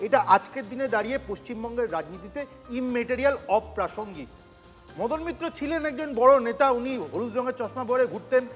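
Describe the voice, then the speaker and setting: A male political debater talks sarcastically. His voice sits extremely high and carries a long hall reverb. Music plays underneath. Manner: sarcastic, mocking. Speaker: political panel guest.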